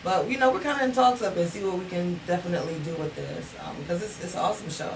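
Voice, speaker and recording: A woman actor with a lowish voice answers in a relaxed, halting way, full of fillers. The mic sits at a distance and noise is clearly heard. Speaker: stage actor and guest. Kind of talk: casual interview chat. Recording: distant mic with noisy background.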